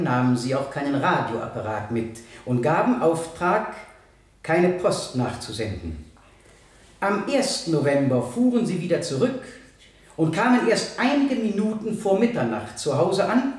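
An actor in a radio play voicing a stern, impassioned male prosecutor who addresses a jury in formal, emphatic oratory with deliberate pauses. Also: fairly high pitch, a little mellow. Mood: grave, narrating facts. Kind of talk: 1970 German radio play, courtroom oratory. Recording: echoey courtroom sound, distant from mic.

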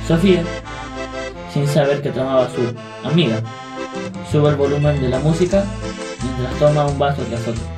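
A man's voice: mid-range voice, normal speed, long pauses. Argentine male narrator over music. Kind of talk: solemn scripted radio drama narration.

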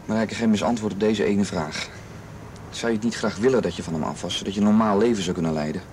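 A man's voice: lowish voice, quick pace, darkish tone, lightly acted. A man playing an earnest, protective young friend in a radio drama, urging and reassuring with insistence. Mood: pressing, earnest. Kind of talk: naturalistic radio drama acting. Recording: noisy old radio drama recording.

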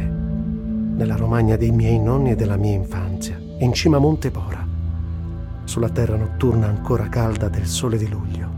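A man's voice: lowish voice, natural voice. An actor reciting as a wry, earthy, self-mocking male war journalist, in a dramatic literary radio-theatre reading. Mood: reflective. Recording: voice over prominent music. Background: music.

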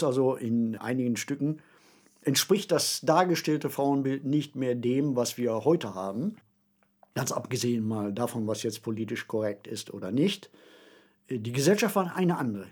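A man's voice: on the high side, darkish tone, slightly rough. A man discussing radio plays on a podcast in a considered, articulate, conversational way. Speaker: podcast commentator.

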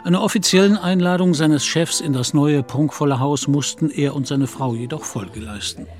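A man narrating a period novel in a radio play, as a measured literary storyteller. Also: a little high, a little mellow, soft background music, lightly acted.

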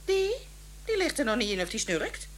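An actress playing a chatty, scornful, neglected wife in a comic radio play, colloquial and sarcastic, often laughing. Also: female voice, a little high, very animated, normal speed, slight background noise. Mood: complaining.

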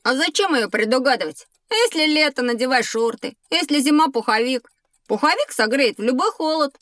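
A woman's voice: high voice, expressive, normal speed, a bit bright, no room sound, clean studio sound. A woman playing a naive, inquisitive and cheeky young schoolboy in an educational audio drama. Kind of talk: scripted audio drama dialogue.

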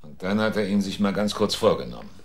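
An actor in a radio drama playing a hard-nosed investigator who presses witnesses in a cool, clipped, insistent way. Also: male voice, sits low, expressive, fast talker. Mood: insistent, cold.

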